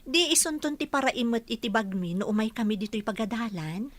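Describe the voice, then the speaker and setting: A woman's voice: on the high side, fairly quick, dry recording, lightly acted. A woman playing a kindly village schoolteacher in a radio comedy-drama, polite and coaxing. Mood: welcoming, advising. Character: kindly village schoolteacher offering household work.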